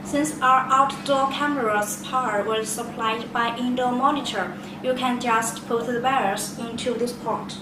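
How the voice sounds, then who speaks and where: Echoey, distant, higher-voiced woman presenting a product. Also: steady tone, a little slow, few pauses. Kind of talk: product demonstration, step-by-step explanation. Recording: echoey room, distant mic, loud noise.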